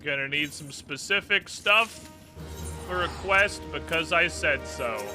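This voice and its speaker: A man's voice: fairly high pitch, unhurried, music in the background. A man streaming a game live, improvising commentary in a sarcastic, sweary way.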